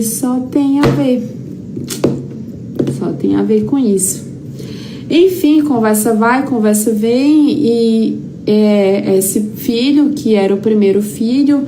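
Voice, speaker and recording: Higher-voiced Brazilian woman teaching, noisy recording. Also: dark tone. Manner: conversational, emphatic repetition, acts out quoted dialogue. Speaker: therapy teacher. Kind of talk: informal lecture with anecdotes. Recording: clearly noisy background.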